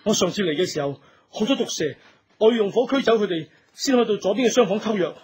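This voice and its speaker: A man's voice: high voice, animated, fast, darkish tone, husky, full character voice. A man playing a devoted, impassioned young thief in a radio drama, speaking fervently and humbly with open emotion. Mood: urgent. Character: devoted, impassioned young male thief, pleading for his beloved. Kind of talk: Cantonese radio drama acting.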